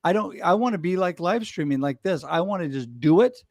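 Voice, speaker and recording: A man hosting a livestream, chatting casually and telling stories with enthusiasm and quick laughs. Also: high voice, animated, darkish tone, no echo, studio quality. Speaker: livestream host. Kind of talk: casual chat.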